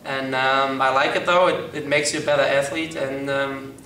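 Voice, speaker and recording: A young man gives interview answers in an unhurried, halting way, filling his gaps with ums. His voice sits at an ordinary middle pitch. It is caught from some distance in a room that echoes. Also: steady tone, sharp tone, slight background noise. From Germany. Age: young adult. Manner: hesitant, many ums. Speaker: college soccer player. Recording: roomy echo, mic far off.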